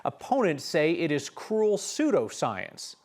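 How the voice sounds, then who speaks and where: Man, American accent, news anchor, scripted newscast narration, fairly high pitch. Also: very animated, takes it slowly.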